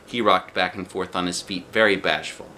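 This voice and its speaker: A man reading a children's book aloud with expressive narration. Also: deep voice, moderate pace, a little hiss or hum. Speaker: book reader.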